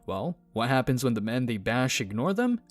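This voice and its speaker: A man reading scripted commentary voice-over in a measured, sardonic manner. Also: medium pitch, sing-song, soft background music. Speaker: channel narrator.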